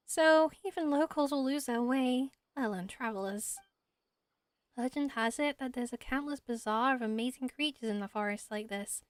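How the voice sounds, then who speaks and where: A woman's voice: sits high, fairly bright tone, dry recording. A woman voicing a kind, earnest young female forest patroller who is protective like a big sister, in an amateur game voice-over.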